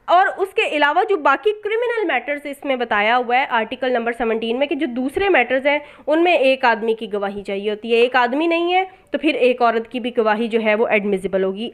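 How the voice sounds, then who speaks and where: A woman with a Pakistani accent giving an explanatory law lecture, didactic and often checking that listeners follow. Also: sits high. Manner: didactic, repeatedly checking understanding. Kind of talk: explanatory exam lecture mixing in English legal terms.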